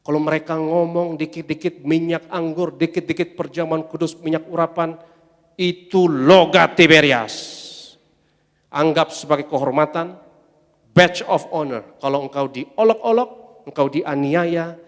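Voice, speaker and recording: A man preaching a sermon as pastor, emphatic, swelling loud on his refrains and calling the congregation to say amen. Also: on the high side, a little slow. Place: large church hall. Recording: long church-hall reverb.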